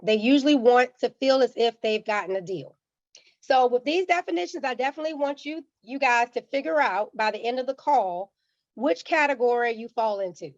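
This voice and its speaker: A woman with a slightly raised pitch and a touch of rasp from being under the weather, walking listeners through a finance lesson like a teacher. She pauses to check in with a quick "okay?". The sound is dry and a little muffled, like a video call. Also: dry recording. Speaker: guest speaker on personal finance. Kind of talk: church community finance presentation.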